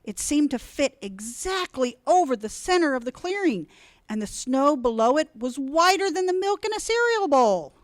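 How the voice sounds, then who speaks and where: A woman reading a children's storybook aloud in an expressive, deliberate, dramatic way. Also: sits high, no room sound, clean studio sound. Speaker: storybook reader.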